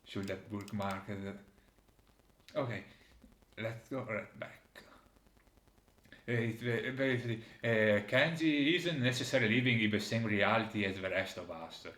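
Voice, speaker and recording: A man with a fairly low voice comments on a video game as its presenter. He speaks hesitantly, stopping to search for the next phrase. The room around him echoes noticeably. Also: a little slow, long pauses, fairly bright tone. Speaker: host presenting a game critique. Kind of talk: video game commentary, reading dialogue aloud.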